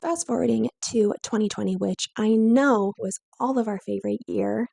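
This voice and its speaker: A woman's voice: medium pitch, normal speed, gravelly, mellow, soft background music. A woman in her twenties with a weak, strained, breaking voice, telling her own story to camera in a candid, lightly self-mocking way. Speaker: vlogger.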